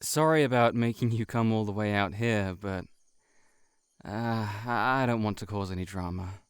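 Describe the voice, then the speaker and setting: A man playing a shy, heartbroken friend in an intimate audio roleplay, confiding haltingly to the listener. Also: lowish voice, clear, resonant tone, no room sound, studio quality, lightly acted. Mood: awkward, apologetic. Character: shapeshifting monster posing as a shy, heartbroken male friend.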